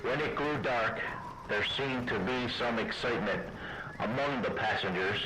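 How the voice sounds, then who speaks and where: A man playing an earnest law clerk, narrating a travel journal in a formal, measured radio drama style. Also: medium pitch, lightly acted. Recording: noisy amateur radio drama.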